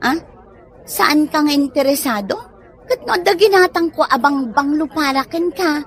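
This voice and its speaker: An actress with a Filipino accent playing a brisk, generous elder sister home from abroad in a comedy audio drama, chirpy and assertive. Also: female voice, high-pitched, expressive. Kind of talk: Ilocano comedy radio drama acting.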